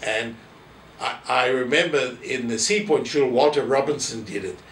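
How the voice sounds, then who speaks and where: A man's voice: mid-range voice, moderate pace, fairly bright tone. An elderly man with a South African accent, reminiscing in an interview and telling anecdotes in which he re-enacts what people said. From South Africa, family from Poland. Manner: anecdotal, re-enacting quoted remarks. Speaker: interviewee, former synagogue office holder. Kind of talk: reminiscing in an oral history interview. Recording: roomy echo, distant mic, faint noise.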